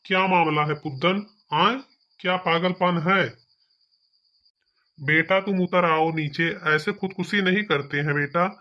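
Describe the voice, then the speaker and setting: A man's voice: high voice, steady tone, unhurried, highs cut off. A man acting a bossy village police officer in a cartoon comedy, commanding. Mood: coaxing, urgent. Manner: authoritative, commanding.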